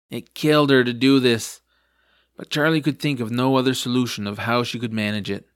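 A man's voice: mid-range voice, fairly bright tone, no room sound, clean studio sound. A man narrating a scripted comic fantasy story for an audio drama.

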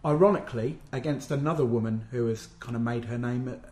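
A man at an ordinary pitch chats easily and recaps a live event. He affirms his partner often and fills gaps with "um" as he goes. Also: quick pace, dark tone. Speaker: podcast co-host. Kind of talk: casual wrestling chat.

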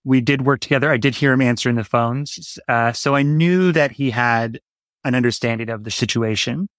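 A man speaks as a guest in an interview, thinking his answers through and laying them out step by step. His pitch sits in the middle for a man, and the sound is dry and close, with little room around it. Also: a bit bright, no echo. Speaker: interview guest, audio drama writer.

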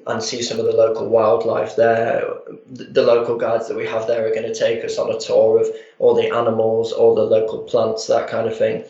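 Flat-toned Yorkshire man, echoey line. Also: medium pitch, muffled recording. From Harrogate, Yorkshire, England. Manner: steady, descriptive, some fillers. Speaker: tour manager guest. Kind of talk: casual radio interview.

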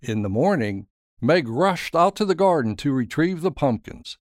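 A man narrating a scripted story in a measured, dramatic storytelling manner. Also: fairly high pitch, very animated, dry recording, clean studio sound. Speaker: author and narrator.

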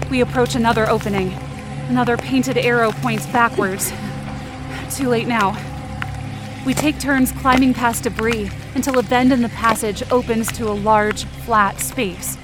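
A woman with a fairly high voice performs a scripted audio drama in the first person. Her narration is intense and suspenseful, as a frightened adventurer in a dark supernatural tale. Music plays clearly behind her. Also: steady tone, normal speed, rough recording. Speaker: fiction podcast narrator and performer. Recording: music bed under the voice. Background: dramatic background music.

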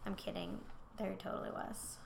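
A young woman co-hosting a casual true-crime podcast, talking bluntly and swearing freely with quick joking asides. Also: slight background noise. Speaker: podcast co-host.

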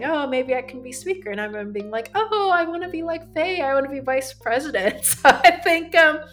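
A young woman, born in the US with Korean as her first language, reflecting earnestly on her student years in an interview, often restarting her sentences. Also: a little high. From United States. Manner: reflective, earnest, with restarts and quoted inner thoughts. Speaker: former student body president. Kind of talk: recorded interview recollection.

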